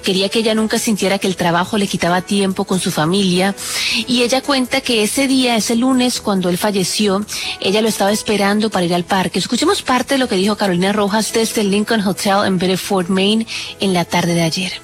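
A woman's voice: medium pitch, a little fast, soft background music. A woman giving a live, conversational news report, explaining things to the anchor as a reporter.

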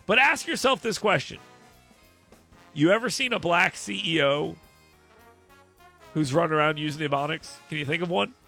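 A man's voice: a little high, moderate pace, vocal fry, pauses often, sharp tone, faint music behind. A man hosting a podcast, joking bluntly in casual chat. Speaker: podcast host.

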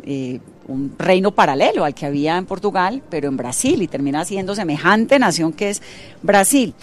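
A Colombian-accented woman with a slightly low voice works as a radio interviewer. She talks in a chatty, informal register and is effusive with her guests, keeping the exchange conversational.

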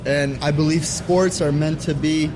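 Male interviewee, slightly high voice, unscripted opinion, noisy urban location recording. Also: fairly even intonation, measured pace. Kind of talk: spontaneous opinion. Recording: noisy location with traffic ambience.

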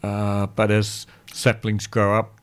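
A man who is a guest land carer, speaking earnestly in a radio studio, halting and restarting his sentences. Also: lowish voice, completely flat delivery, clear, resonant tone. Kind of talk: radio interview talk.

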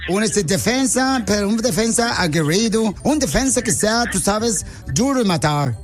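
A man's voice: high voice, lively intonation, normal speed, music in the background. A man hosting a radio prank call show, with a playful, mock-serious way of asking things.

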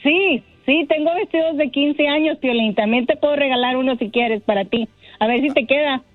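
High-voiced woman on a tinny phone line. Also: moderate pace, no echo, faint music behind. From Mexico and Texas. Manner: chatty, laughs often. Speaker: caller, bridal shop owner. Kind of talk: phone interview.

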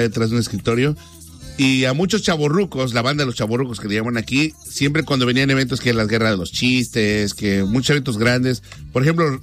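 A man hosting a casual call-in radio show, reading dedications and plugs in a playful, teasing, slangy way. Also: mid-range voice. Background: music.

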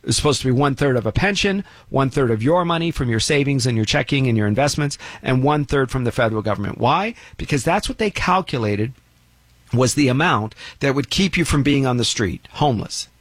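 A man's voice: mid-range voice, lively intonation, a little fast, no echo. A man giving a blunt, opinionated monologue about money and retirement, lecturing with emphatic rises. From United States.

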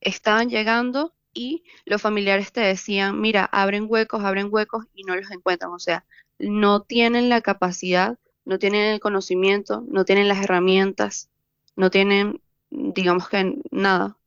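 Woman, Venezuelan accent, journalist, steady factual report, dry close sound. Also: medium pitch, moderate pace, clean tone, no echo, lo-fi sound. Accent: Venezuelan Spanish. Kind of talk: reporting in a news interview.

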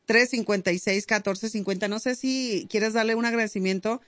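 Brief: woman radio host, mid pitch, upbeat and chatty, dry studio sound. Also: steady tone, moderate pace, a bit bright, no room sound. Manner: upbeat, chatty, hesitant fillers. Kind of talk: casual radio chat. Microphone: studio mic.

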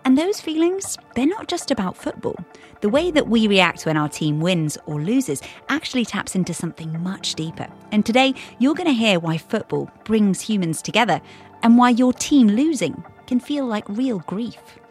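Lively female radio host. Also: medium pitch, moderate pace, soft background music. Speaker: podcast host. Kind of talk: scripted radio presenting.

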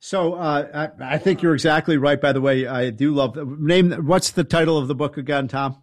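A man's voice: a little high, no echo, clean studio sound. A man hosting a talk radio show, sounding stuffed up from a summer cold, casual with frequent interjections. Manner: casual, quick interjections, some stumbles.

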